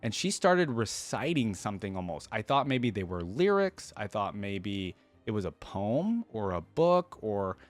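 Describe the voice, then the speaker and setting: A man's voice: on the low side, sing-song, a little slow, few pauses, soft background music. A man giving casual reaction commentary as a video host, chatty and rambling, often tagging questions onto his remarks.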